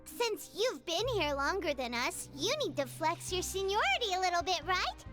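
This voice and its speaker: A woman voicing a small, chipper girl companion in a video game, squeaky, perky and inquisitive. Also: very animated, moderate pace. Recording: music behind the voice.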